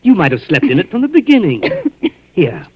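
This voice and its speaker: An old, dull-sounding 1940s radio drama features a menacing man. His rough, husky voice swings widely in pitch, oozing false concern and sly insinuation. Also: huge pitch swings, moderate pace, heavily acted. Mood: mock concern. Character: sinister, falsely solicitous brother-in-law, quietly menacing captor.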